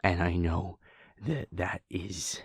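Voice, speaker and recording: A man talking softly and hesitantly to a partner in an intimate audio roleplay, pausing with sighs and restarts. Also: deep voice, fairly even intonation, slightly rough, no echo, studio quality.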